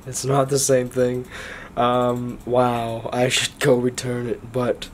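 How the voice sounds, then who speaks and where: Young male vlogger, mid-pitched, slightly lost voice, casual joking ramble. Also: completely flat delivery, slow pace, a little hiss or hum. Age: young adult. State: slightly lost voice after illness. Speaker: vlogger, college student. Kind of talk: casual vlog chat to camera. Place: small dorm room, indoors. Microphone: handheld camera at arm's length.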